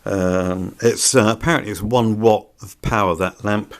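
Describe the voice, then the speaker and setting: A man's voice: fairly low pitch, steady tone, moderate pace. A man giving an unscripted product review in a plain-spoken, somewhat hesitant way. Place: indoors.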